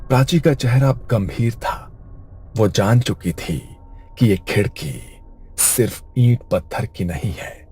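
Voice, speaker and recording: A man's voice: fairly low pitch, warm tone, a little hiss or hum. A man narrating a scripted horror story in a suspenseful storytelling manner.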